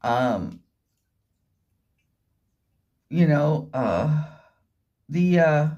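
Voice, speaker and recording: A woman giving a rambling, informal spoken commentary, halting and repetitive, leaning on words for emphasis. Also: deep voice, expressive, mellow, lo-fi sound. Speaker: vlogger. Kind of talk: rambling informal monologue retelling and commenting on a radio drama.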